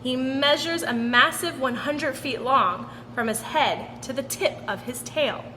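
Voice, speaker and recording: A woman reads a children's story aloud in a high, bright voice. Her delivery is expressive and sing-song, and it carries a long echoing reverb. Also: a little slow. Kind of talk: expressive picture-book read-aloud for children. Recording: roomy long reverb with background noise.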